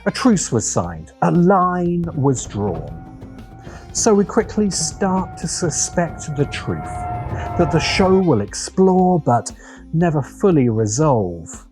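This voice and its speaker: Man, video essay narrator, slow and deliberate, animated pitch, wry, opinionated, dramatic pauses, plucked-string music behind.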